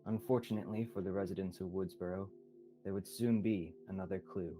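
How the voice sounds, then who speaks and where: A man's voice: sits low, fairly even intonation, brisk. A young man reading scripted narration as the storyteller of a student radio drama. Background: soft music.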